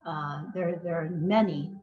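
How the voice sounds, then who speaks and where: A woman's voice: sits low, measured pace. Older American woman, dull lo-fi sound. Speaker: guest, playwright. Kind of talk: reflective interview conversation.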